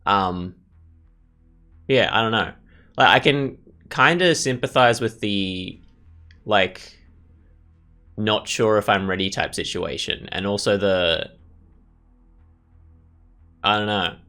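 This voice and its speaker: A man with a fairly low voice hosts a casual gaming session. He muses tentatively, piecing thoughts together in fragments, with music playing behind him. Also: takes it slowly, long pauses, sharp tone. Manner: musing, tentative, thinking aloud in fragments. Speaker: gaming host. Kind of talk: casual let's play commentary.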